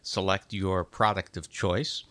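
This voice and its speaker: A man with a fairly low voice speaks as a podcast host. He delivers scripted information in an announcer's style. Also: little pitch movement, a little slow, fairly bright tone.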